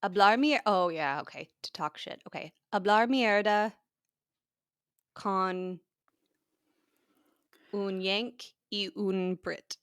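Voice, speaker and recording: A woman co-hosting a podcast in casual chat, talking into a microphone, chatty and quick to laugh, often trailing off mid-thought. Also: mid-range voice, a bit bright, clean tone, dry recording, studio quality. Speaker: podcast co-host.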